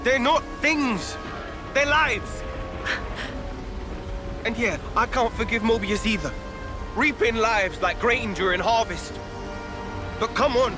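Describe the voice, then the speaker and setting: A man's voice: extremely high pitch, takes it slowly, pauses often. An actor with a British accent playing an earnest, hot-blooded young man in a dramatic video game scene, blunt and colloquial. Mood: indignant. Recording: voice over loud music.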